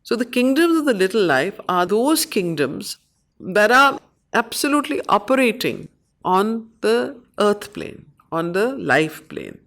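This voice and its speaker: A woman with an Indian accent, facilitating a study circle, reading and explaining verse in short, measured phrases. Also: mid-range voice, sing-song, vocal fry, fairly bright tone. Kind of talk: reading poetry aloud and explaining it to a study group.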